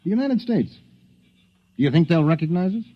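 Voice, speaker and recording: An actor playing a wry, easygoing male time traveler in a 1950s radio drama, animated in delivery. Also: fairly high pitch, fairly quick. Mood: musing. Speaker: Wes, time traveler stranded in prehistory. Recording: dull lo-fi 1950s radio broadcast.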